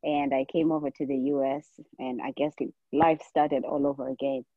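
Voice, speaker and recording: A woman's voice: rumbling low, level tone, normal speed, dry recording. A woman in her forties with a Zimbabwean accent, chatting casually as a guest in an interview, on a slightly muffled recording. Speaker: podcast guest, nurse practitioner.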